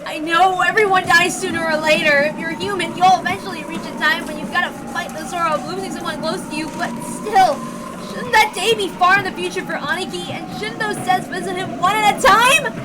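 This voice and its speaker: A woman voicing a hot-headed young man who is anguished and forceful, in emphatic outbursts, for a visual novel read-aloud. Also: very high voice, a little fast, very noisy, voice pushed up. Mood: anguished, protesting.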